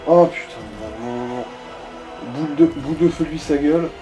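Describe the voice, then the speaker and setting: A man's voice: on the high side, moderate pace. A man giving casual, crude, slangy commentary and advice on a video game. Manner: crude, slangy, swearing freely. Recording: roomy echo, music behind.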